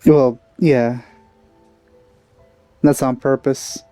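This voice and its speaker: Male let's player, relaxed dark tone, casual wry remarks, mid pitch. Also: extremely slow. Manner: dry, wry asides. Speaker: let's play gamer. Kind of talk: casual gameplay commentary. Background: game music.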